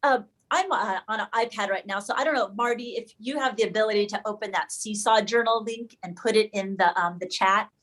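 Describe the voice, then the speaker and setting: A woman's voice: mid-range voice, fairly quick, few pauses, fairly bright tone. Woman leading an informal webinar, thinking aloud. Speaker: educator leading a webinar discussion. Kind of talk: informal presentation to colleagues. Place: online video call.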